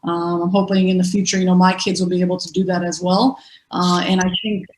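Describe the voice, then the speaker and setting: A woman's voice: lowish voice, little pitch movement, a little fast. Woman on an echoey video call. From born in the US, raised in Israel. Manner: casual, filler-heavy, enthusiastic recruiting. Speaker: women's football player. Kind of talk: panel discussion over video call.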